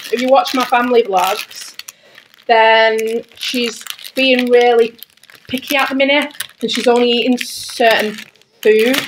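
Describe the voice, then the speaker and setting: A British woman chatting casually and informally as a family vlogger, often repeating words for emphasis, recorded indoors at home. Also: on the high side. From Britain. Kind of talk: casual grocery haul chat to camera. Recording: clear background noise, packaging crinkling. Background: crinkling packets, clicks, handling noise.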